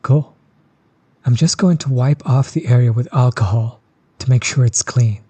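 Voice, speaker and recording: A man playing a chill, friendly tattoo artist in an intimate audio roleplay, soft-spoken, easygoing and reassuring. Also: medium pitch, moderate pace, husky, no echo, natural voice. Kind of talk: intimate one-sided audio roleplay, casual chat.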